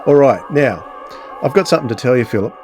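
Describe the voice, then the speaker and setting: A man's voice: mid-range voice, animated, fast talker, darkish tone, soft background music. A man hosting a podcast in a casual, chatty style. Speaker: podcast host.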